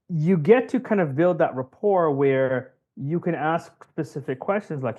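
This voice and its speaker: A man's voice: medium pitch, darkish tone, dull audio. A man who grew up in West Africa, explaining advice conversationally as a podcast guest, with examples and repeated phrases for emphasis. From West Africa (Cameroon and Ivory Coast). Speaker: podcast guest, job interview coach.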